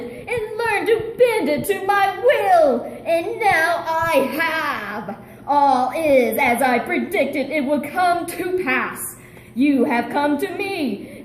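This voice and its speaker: A woman's voice: very high-pitched, full character voice. A woman voicing a vengeful, grandiose male pet hamster villain in a theatrical gloating monologue. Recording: roomy echo.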